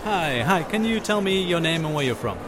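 Fast-talking male interviewer in street noise. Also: on the high side, expressive. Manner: brisk, friendly questioning. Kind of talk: vox pop interview. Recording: noisy outdoor street recording.

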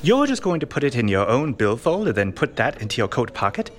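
Guy doing excitable bumbling noir sidekick, swingy pitch, bit of noise behind. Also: brisk, natural voice. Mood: alarmed, incredulous. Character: bumbling, earnest male detective's sidekick, easily flustered. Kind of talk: noir comedy radio drama acting.

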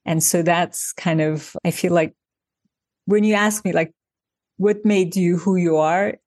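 A woman's voice: on the low side, fairly even intonation, measured pace, darkish tone, no echo. Thoughtful woman from Turkey, dry sound. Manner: thoughtful, explains with examples, frequent restarts. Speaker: designer and author, interview guest. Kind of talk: reflective interview conversation.

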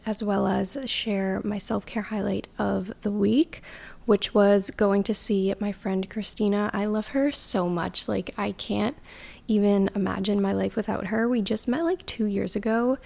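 Young woman podcast host, sleepy morning voice with gravelly fry, chatty and casual. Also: medium pitch, darkish tone. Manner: chatty, lots of "like" fillers. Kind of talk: casual solo podcast chat.

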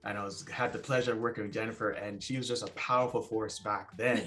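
A man's voice: fairly low pitch, steady tone, fast, pushed and bright, faint music behind. A man co-hosting an interview show, chatting informally and repeating short phrases for emphasis. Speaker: podcast co-host. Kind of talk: casual conversational interviewing.

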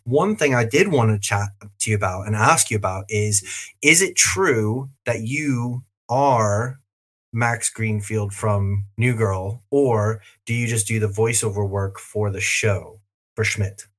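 A man's voice: on the low side, unhurried. A man hosting a podcast in an easygoing way, laughing often and talking with plenty of fillers. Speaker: podcast host. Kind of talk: casual podcast interview.

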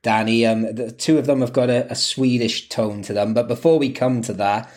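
A man hosting a casual football interview, speaking haltingly and repeating words as he goes. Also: medium pitch, steady tone, a little mellow. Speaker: host of a football fan podcast.

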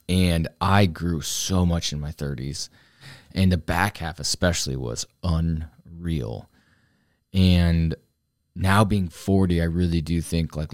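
A man's voice: low voice, darkish tone, dry recording, studio quality. A man in his forties talking on his own about turning forty, earnest and rambling, often restarting his sentences. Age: 40s. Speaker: vlogger, fitness coach. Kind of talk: reflective solo talk to camera.

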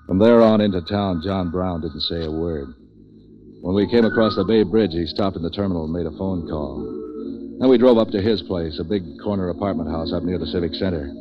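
An actor playing a street-wise, wisecracking hired tough who narrates a 1940s radio detective drama in a clipped, deadpan way. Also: male voice, deep voice, brisk, over music, natural voice. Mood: deadpan, world-weary. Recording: dull vintage 1940s radio recording.

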